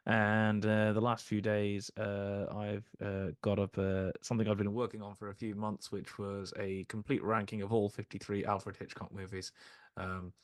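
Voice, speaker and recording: Male film podcast co-host, lower register, conversational delivery. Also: monotone, moderate pace, smooth, no room sound. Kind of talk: casual film discussion.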